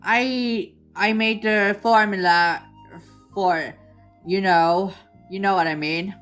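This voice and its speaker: A woman voicing a meek, awkward, sheepish cartoon character with a hesitant, halting delivery in a fan parody dub. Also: medium pitch, fairly even intonation, a bit bright, smooth, soft background music.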